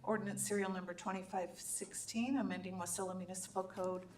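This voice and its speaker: A woman's voice: mid-range voice, steady tone, a little fast, darkish tone, far from the mic, slight background noise. A woman city clerk reading agenda items aloud carefully, with occasional slips that she corrects.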